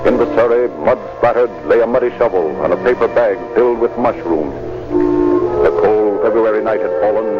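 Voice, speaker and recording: A man narrating a crime drama in a grave, measured, scripted old-time radio style. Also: lowish voice, lightly acted. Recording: dull old radio recording, loud music. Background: loud dramatic music.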